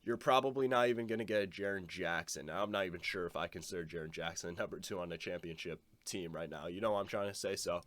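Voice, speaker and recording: A man's voice: sits low, fast, hardly any pauses, fairly bright tone, dry recording. A young man with a stuffed-up nose giving a casual, blunt basketball opinion monologue, swearing now and then. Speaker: basketball commentator.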